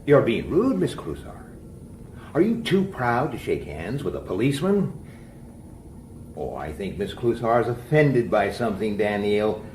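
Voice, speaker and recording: An actor playing a gruff, world-weary police detective in a radio drama, with a blunt manner. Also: male voice, fairly low pitch, sing-song, unhurried, darkish tone. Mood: confrontational. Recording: very noisy old radio drama recording.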